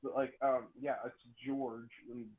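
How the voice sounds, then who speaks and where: A young man with a deep voice calling in from his bed, talking in a loose, crude, drawn-out way. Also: flat delivery. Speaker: caller. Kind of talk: phone call-in. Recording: tinny phone line.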